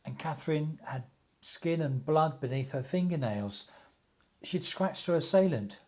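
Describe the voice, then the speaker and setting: A man's voice: a little high, lively intonation, measured pace, darkish tone. A man playing an earnest, troubled young family friend in a radio play, grave and hesitant.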